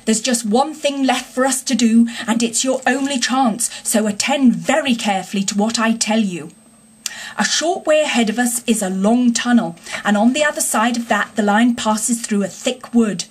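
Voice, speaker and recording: A woman's voice: on the high side, moderate pace, slightly rough. A woman voicing a stern but kind-hearted male engine driver in a storybook, earnest and plain-spoken. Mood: resolute.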